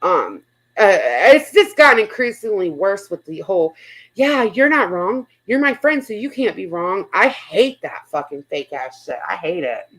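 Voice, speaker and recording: A woman with an average-pitched voice hosts a casual livestream. She talks bluntly and informally, says what she thinks and swears freely. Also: very animated, normal speed, a bit bright, low-quality recording. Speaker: livestream host.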